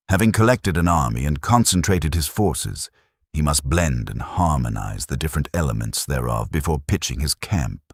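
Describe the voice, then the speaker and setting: A man reading an audiobook as scripted narration, in a measured, solemn and declarative manner. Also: very deep voice, slightly rough, no echo, clean studio sound.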